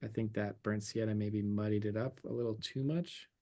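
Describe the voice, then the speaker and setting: Man, 30s, lower register, fraying voice, relaxed instructor talking through his brushwork. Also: moderate pace. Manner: relaxed, thinking aloud while painting. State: losing his voice. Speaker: painting instructor. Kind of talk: live watercolor demonstration.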